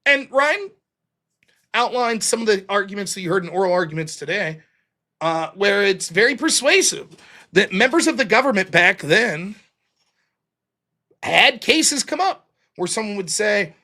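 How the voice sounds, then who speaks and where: A man's voice: high-pitched, lively intonation, unhurried, pauses often, bright, forceful tone. A man hosting a solo political commentary livestream, explaining a legal reading point by point in an argumentative, emphatic way. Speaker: livestream show host.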